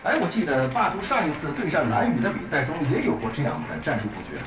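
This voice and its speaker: A woman playing a polished, energetic esports commentator in an audio drama, heard as a broadcast over speakers. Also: sits low. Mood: professional. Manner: brisk, professional, excited. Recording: roomy, distant, loud music behind.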